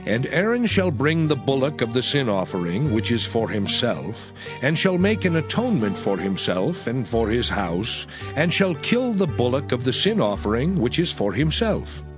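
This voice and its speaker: A man narrating scripture as a measured, expressive reading in archaic English. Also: mid-range voice. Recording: music bed under the voice. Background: music.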